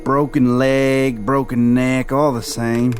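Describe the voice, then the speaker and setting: An actor playing a lazy, grumbling drifter in a western radio drama, with a folksy, drawling manner. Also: male voice, mid-range voice, little pitch movement, takes it slowly, soft background music, natural voice. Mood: careless. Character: lazy, grumbling old-west drifter working off a fine.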